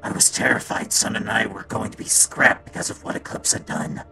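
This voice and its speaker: A performer playing a guilt-ridden male robot daycare attendant in a fan comic dub, halting and sombre, with a gravelly voice that drops into whispers. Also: medium pitch, bright, forceful tone, soft background music. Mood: anguished guilt.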